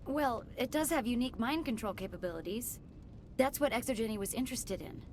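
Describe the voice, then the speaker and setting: A woman acts out a young female scientist for a sci-fi game. The voice is high, earnest and troubled by guilt. A faint rumble lies in the background. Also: fairly quick, natural voice. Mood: uneasy, remorseful. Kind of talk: video game voice acting.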